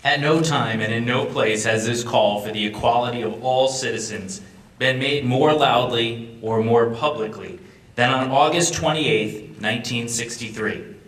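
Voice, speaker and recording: A man's voice: mid-range voice, a bit bright. A young man reading a scripted, formal introduction at a public event. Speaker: reader introducing a speech. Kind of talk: scripted narration. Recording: echoey hall, distant microphone.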